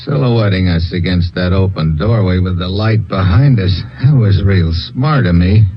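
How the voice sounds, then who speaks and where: An actor playing a tough, wry secret agent in a 1950s radio drama, speaking in a clipped, sardonic way. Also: male voice, deep voice, normal speed. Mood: terse. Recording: dull old radio broadcast with music.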